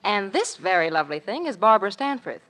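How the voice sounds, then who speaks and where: Vivacious female athlete for vintage radio play; medium pitch, very animated, gushing society delivery. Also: fast talker. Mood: delighted. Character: vivacious, sociable woman champion golfer. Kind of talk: 1940s radio drama acting.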